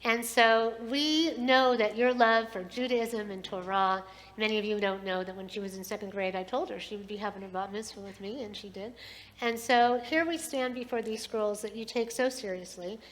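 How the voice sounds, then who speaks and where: A middle-aged woman leading a service in a synagogue sanctuary, heartfelt and affectionate as she gives a blessing and a sermon. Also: fairly high pitch.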